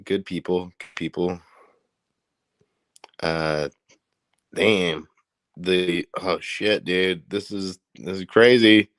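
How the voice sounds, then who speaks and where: Low-voiced man with Indiana accent. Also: drawn-out words, long pauses, dry recording. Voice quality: profane, rambling, excitable. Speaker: livestream host. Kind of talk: casual livestream chat.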